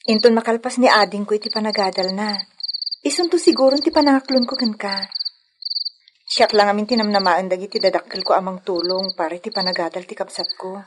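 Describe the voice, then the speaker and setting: A woman playing a gentle, dutiful young village woman in an Ilocano radio love drama, with an Ilocano accent. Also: medium pitch, a little fast, very noisy, lightly acted. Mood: gentle, reassuring.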